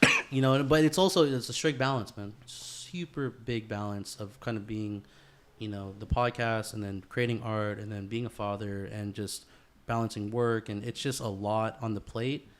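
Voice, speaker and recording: A man's voice: lowish voice, normal speed. A man talking as a podcast host in a casual chat, earnest and sweary, with bro-style slang.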